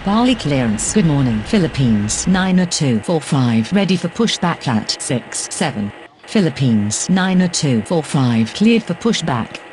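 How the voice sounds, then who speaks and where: A man's voice: on the high side, very animated, a little slow, background noise. A man voicing a businesslike airline pilot and controller in a game, speaking in clipped, procedural radio phrases.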